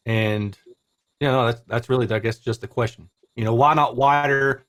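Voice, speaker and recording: A man's voice: medium pitch, steady tone, normal speed, fairly bright tone. A man in his forties hosting a casual technical interview, informal and given to conversational asides. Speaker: host, interviewer.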